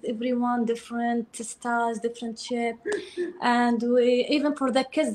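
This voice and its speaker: A woman from Qatar with a Gulf Arabic accent, answering informally as a guest in halting, non-native phrasing. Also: a little high. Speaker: guest, golfer.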